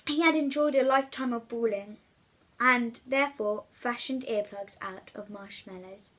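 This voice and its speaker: Female children's story reader, higher pitch, bright forceful tone, expressive delivery. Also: normal speed. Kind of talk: expressive read-aloud storytelling for young children.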